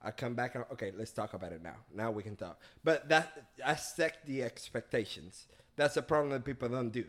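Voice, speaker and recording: A man from Venezuela, a podcast guest speaking in earnest, reflective, halting casual chat. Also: mid-range voice, animated.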